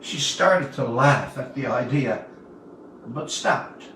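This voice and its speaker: A man of about seventy from Newfoundland, Canada, reading his own tale aloud as a storyteller, with deliberate, dramatic pauses. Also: mid-range voice, a bit bright. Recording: roomy, distant mic, faint background noise.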